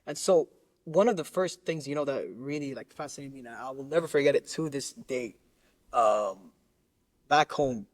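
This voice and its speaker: A man from Lebanon who grew up in Qatar, talking casually as a podcast guest in a halting, rambling way, repeating words as he searches for phrasing. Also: mid-range voice, no room sound. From Lebanon, raised in Qatar. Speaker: musician interview guest.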